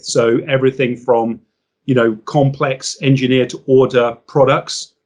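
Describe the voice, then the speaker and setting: A man speaking as an interview guest, explaining business matters in a measured, structured way. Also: medium pitch.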